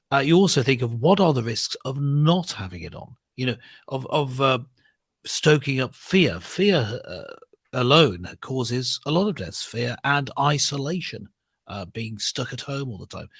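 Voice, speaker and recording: British male co-host, husky, earnest, hesitant conversational delivery, dry sound. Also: mid-range voice, fairly bright tone, dry recording. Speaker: co-host of a talk show, clergyman. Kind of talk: conversational opinion discussion.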